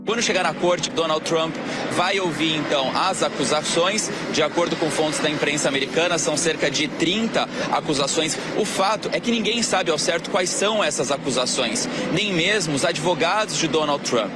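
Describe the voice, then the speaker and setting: Man, Brazilian accent, raised pitch, news reporting, strong background music. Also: normal speed. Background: prominent music.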